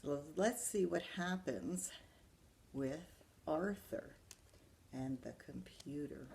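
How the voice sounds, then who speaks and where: A woman with a fairly low voice reads a picture book to children. She is expressive, like a librarian at story time, and breaks off to put questions to her listeners. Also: slow pace, vocal fry, a little hiss or hum. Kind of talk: children's story read-aloud with asides to young listeners.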